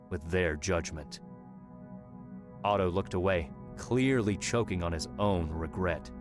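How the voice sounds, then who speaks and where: A man narrating an audiobook in a measured, dramatic storytelling manner. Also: low-pitched. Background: background music.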